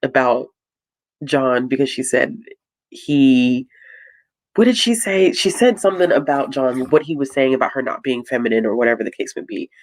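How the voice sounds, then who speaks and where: A woman co-hosting a casual book chat, telling things in an animated, emphatic way. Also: a bass voice, moderate pace, dry recording. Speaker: livestream co-host.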